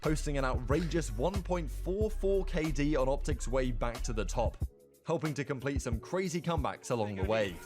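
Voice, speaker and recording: A man reading scripted documentary narration in a steady, measured way. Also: mid-range voice, over music.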